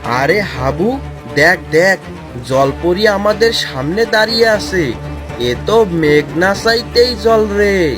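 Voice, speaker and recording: A man's voice: high voice, lively intonation, takes it slowly, music in the background. A man voicing a greedy, bullying village schemer in a cartoon fairy tale, with a taunting drawl. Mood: greedy, excited.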